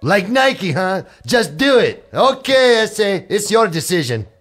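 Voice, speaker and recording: An actor playing a sardonic, menacing henchman in an old-style radio drama, mocking and deliberate. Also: high-pitched, big pitch swings, fairly bright tone, soft background music, exaggerated voice. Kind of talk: 1940s radio drama acting.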